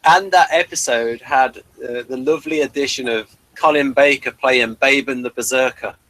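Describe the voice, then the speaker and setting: A man's voice: mid-range voice, moderate pace, sharp tone, poor audio. A man talking casually as a co-reviewer in a conversation about a television episode.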